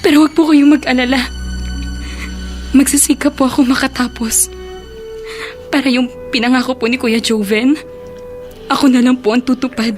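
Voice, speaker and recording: Dutiful, troubled young niece for Filipino radio drama, voiced by an actress: high pitch, respectful and hesitant, faint music underneath. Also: female voice, fairly even intonation, moderate pace, long pauses, a little mellow, natural voice. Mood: troubled, earnest. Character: earnest, dutiful young schoolgirl niece, troubled but grateful.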